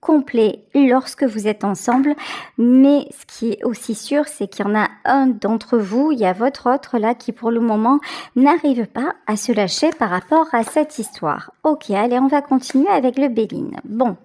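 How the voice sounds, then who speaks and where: A woman doing an intuitive tarot reading, speaking directly to the viewer and thinking aloud with pauses as she goes. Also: fairly high pitch, a little mellow. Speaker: tarot reader, channel host.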